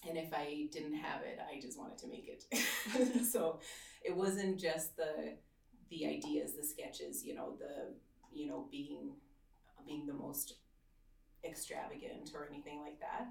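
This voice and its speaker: A woman in her thirties from Slovakia, a swimwear designer, talking in a casual interview with many ums and soft laughs. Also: low voice, flat delivery, measured pace, gravelly, echoey room, distant mic. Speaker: swimwear designer and business owner.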